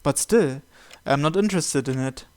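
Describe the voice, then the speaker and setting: A man's voice: fairly high pitch, moderate pace. A man hosting a visual novel playthrough, reading the first-person narration aloud.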